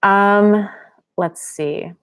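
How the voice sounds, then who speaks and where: A woman's voice: mid-range voice, very slow, smooth. Chatty woman hosting a live Q&A. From Pennsylvania, US. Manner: chatty, reads viewer comments aloud. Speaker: channel host. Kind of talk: live-stream Q&A chat.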